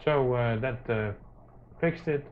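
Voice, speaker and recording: A man gives an unscripted how-to demonstration, hesitating often with many filler sounds. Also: mid-range voice. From Netherlands. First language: Dutch. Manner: hesitant, halting delivery with very frequent filler sounds. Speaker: tech tutorial presenter. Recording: dull lo-fi camera audio, clear noise.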